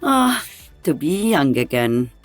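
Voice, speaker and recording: A woman's voice: low-pitched, sing-song, slow, no room sound, faint music behind, exaggerated voice. A woman playing an older veteran sniper in a video game, wry and teasing.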